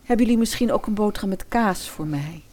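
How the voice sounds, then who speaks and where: Teenage fairy-tale princess, played by a woman, naive, coy, hesitant chatter, comic audio drama, medium pitch. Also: lively intonation, fast talker, a little mellow, close to an everyday voice. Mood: shy, hopeful.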